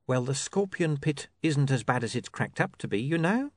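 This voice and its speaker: A man voicing a smooth-talking criminal boss who persuades with wry patience and quiet menace. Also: mid-range voice, animated, moderate pace, no echo, pitched above the performer's own voice, natural voice. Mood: coaxing.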